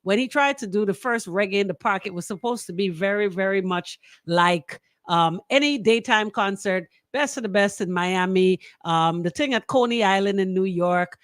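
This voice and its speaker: A woman's voice: medium pitch, moderate pace, hardly any pauses, dry recording, studio quality. A woman with a Bahamian accent hosting casual, opinionated radio banter in an emphatic, colloquial way, often throwing out rhetorical questions. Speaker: radio talk show host. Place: radio studio.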